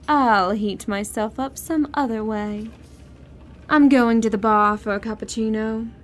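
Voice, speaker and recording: A woman playing a sharp, discontented wife in an audio drama, snippy, sarcastic and imperious in manner. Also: mid-range voice, natural voice.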